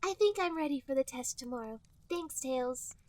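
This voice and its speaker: A woman's voice: sits high, expressive, moderate pace, darkish tone, dry recording. A woman voicing a sweet, frank young cartoon rabbit girl in an exaggerated girlish way for a mock-dramatic reading. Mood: earnest. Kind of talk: mock-dramatic fan fiction dialogue reading.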